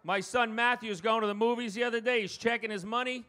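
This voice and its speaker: A man's voice: extremely high pitch, steady tone, fast, bright, forceful tone. A middle-aged man with a New York accent performing self-deprecating stand-up comedy in punchy one-liners. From Long Island, New York. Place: live comedy show before an audience.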